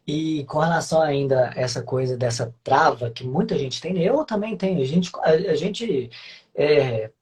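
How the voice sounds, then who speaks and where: A man hosting a casual interview, relaxed and encouraging, often adding tag questions. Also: a little high, moderate pace, husky. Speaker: host, exam-prep course teacher.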